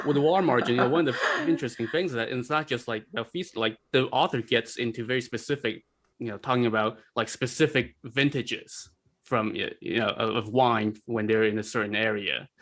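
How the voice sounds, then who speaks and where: A man's voice: mid-range voice, moderate pace, fairly bright tone. A man speaking as a podcast guest, explaining things casually and often rephrasing as he goes.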